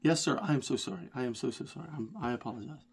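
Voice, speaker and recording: A man's voice: mid-range voice, racing, creaky, a little mellow, slightly rough. Over-apologetic tipsy young woman, lightly put on by a stuffy-nosed man; polite, embarrassed. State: stuffy head cold.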